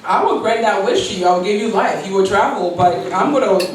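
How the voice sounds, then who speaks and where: A woman's voice: medium pitch, animated, fairly quick, background noise. A woman in her forties, from Liberia, giving a keynote speech as a personal story with an earnest, storytelling manner. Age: 40s. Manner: earnest, storytelling, with quoted prayers and light laughs. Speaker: keynote speaker. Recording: very echoey hall, voice from far off.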